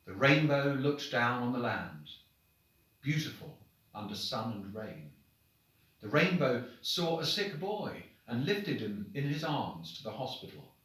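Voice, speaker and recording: A man's voice: medium pitch, very animated, unhurried, fairly bright tone. Man reading aloud in a very echoey room. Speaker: headmaster reading pupils' stories. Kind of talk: expressive storybook reading to children. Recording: very echoey room, distant microphone.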